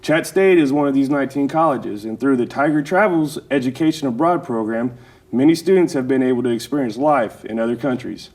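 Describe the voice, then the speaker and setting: A man's voice: medium pitch, fairly quick, a little mellow. A man hosting a college studio interview, asking questions in a friendly, conversational way. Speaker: host.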